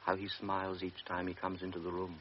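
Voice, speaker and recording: A man narrating a classic radio drama in a measured, grave manner. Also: deep voice, completely flat delivery. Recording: dull old radio recording with faint hiss.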